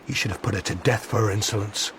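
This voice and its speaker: A man's voice: lowish voice, steady tone, fast talker, a bit bright, a little hiss or hum, natural voice. An actor playing a loyal, firm counsellor to a king, formal and admonishing, in a radio drama. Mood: urging. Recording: light outdoor ambience behind voice.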